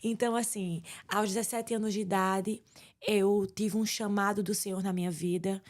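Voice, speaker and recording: A woman's voice: medium pitch, little pitch movement, normal speed. A woman pastor in her forties from Paraíba with a Northeastern Brazilian accent, speaking earnestly as a guest in an interview. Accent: Northeastern Brazilian Portuguese. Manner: earnest, reflective, with frequent restarts. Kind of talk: conversational interview.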